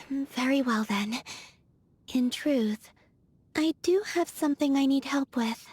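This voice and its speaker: An actress voicing a soft-spoken, aloof young woman in a game, with a breathy, whispery manner and slow, languid delivery. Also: on the high side. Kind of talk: video game character voice lines.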